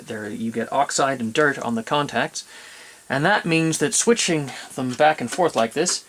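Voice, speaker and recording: Male demonstrator, mid-pitched, talking through equipment unscripted in a methodical, explanatory way. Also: a little hiss or hum. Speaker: presenter demonstrating vintage audio gear.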